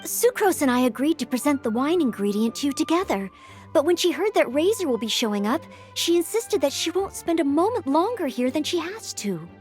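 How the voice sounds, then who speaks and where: A woman's voice: high-pitched, big pitch swings, fairly quick, soft background music. A woman voicing a young, dutiful maid knight in a video game, speaking in an earnest, courteous and precise way. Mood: polite insistence. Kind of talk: video game character acting.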